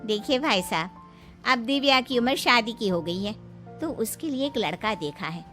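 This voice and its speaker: A woman's voice: a little high, expressive, normal speed, bright, forceful tone, faint music behind. A woman voicing a middle-aged neighbour aunty, a polite and persuasive matchmaker in a moral story. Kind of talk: acted moral story dialogue.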